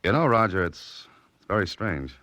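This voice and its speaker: A wry hard-boiled adventurer, super deep voice, clipped sarcastic lines, old radio drama. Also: male voice, very animated, moderate pace, pushed and bright, no echo, exaggerated voice. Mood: guarded, cool. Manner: dry, sardonic, clipped wisecracking. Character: wry, self-mocking adventurer and mystery writer, nobody's fool.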